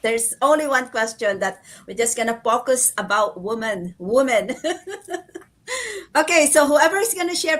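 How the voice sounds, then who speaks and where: High-voiced woman hosting a livestream. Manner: warm, laughs often, restarts sentences. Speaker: livestream host. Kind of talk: casual livestream chat.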